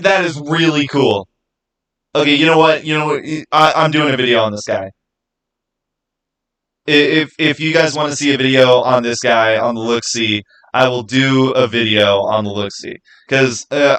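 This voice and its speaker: Halting man chatting on a livestream. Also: medium pitch, a bit bright. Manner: halting, repeats words and phrases. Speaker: livestream host. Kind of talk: casual livestream chat with viewers.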